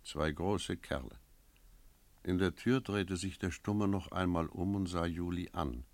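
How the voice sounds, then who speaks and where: An actor playing a sober village innkeeper who tells an eerie tale in a measured, reflective radio drama style. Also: male voice, low-pitched, no echo. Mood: brooding. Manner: measured, reflective, literary storytelling. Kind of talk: radio drama narration and dialogue.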